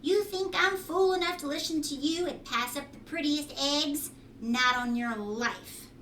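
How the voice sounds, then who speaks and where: Lady putting on a bratty country girl from a kids story, rude n whiny, high voice, echoey room. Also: a little slow, fairly bright tone. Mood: defiant. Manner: cross, complaining. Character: spoiled, greedy, whining rude country girl. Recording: roomy home recording, distant mic, faint noise.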